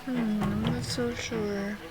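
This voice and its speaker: A woman's voice: fairly high pitch, level tone, takes it slowly. A woman livestreamer giving casual, hesitant commentary as she thinks aloud over a game. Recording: loud game music behind.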